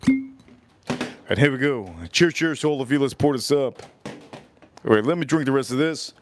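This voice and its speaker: A man hosting a casual livestream from Florida, animated as he shouts out viewers, and a little sick. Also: mid-range voice, moderate pace, a little hiss or hum. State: getting sick. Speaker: livestream host.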